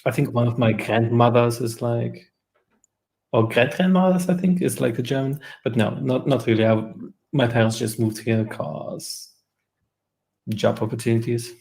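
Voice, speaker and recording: A man from Russia chatting on a video call, relaxed and hesitant, often trailing off. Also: medium pitch, moderate pace, creaky. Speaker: video chat stranger. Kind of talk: casual video chat.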